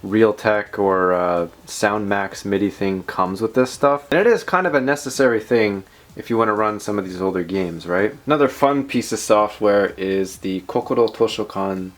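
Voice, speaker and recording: A man's voice: fairly low pitch, animated, normal speed, few pauses. A man hosting a casual tech show-and-tell, talking informally in rambling asides. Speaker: tech video host.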